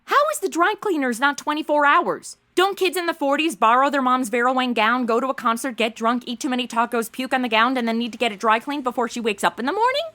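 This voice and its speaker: Ditzy modern gal, audio comedy: high, bright, very animated, blunt and slangy. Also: female voice, fast talker, few pauses. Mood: indignant, pushy. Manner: blunt, slangy, valley-girl phrasing. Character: brash, ditzy modern young woman stranded in 1940s mysteries. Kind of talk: comedic audio drama acting.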